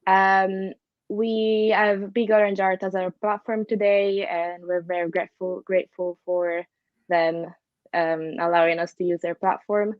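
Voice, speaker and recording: A woman's voice: medium pitch, level tone, a little slow, no echo, dull audio. Young woman hosting an online meetup. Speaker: meetup organizer. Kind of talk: event introduction. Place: home room.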